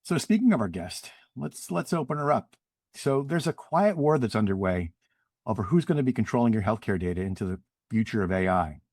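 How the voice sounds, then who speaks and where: A man hosts a podcast, reading a prepared introduction and moving into friendly questions. His voice sits fairly low, and the sound is dry and close with no room echo. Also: lively intonation, a little fast, a little mellow. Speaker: podcast host. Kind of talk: podcast hosting.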